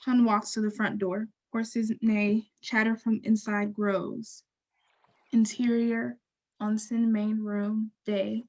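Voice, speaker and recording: Female narrator, higher pitch, flat intonation, measured, neutral scripted reading. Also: rough recording. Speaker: narrator reading stage directions.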